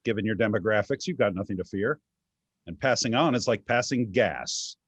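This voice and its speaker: An actor playing a wry, flippant voice in a collector's head, dry, husky and sardonic, in a staged reading. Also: male voice, on the low side, moderate pace, no echo. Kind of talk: theatrical staged reading, comic dialogue.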